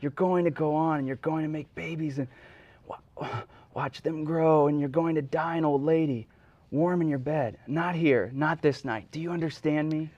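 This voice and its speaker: A man's voice: a little high, steady tone, moderate pace, darkish tone, no room sound, highs cut off, close to an everyday voice. A man playing an earnest young man in icy water, pleading in a parody dramatic reading.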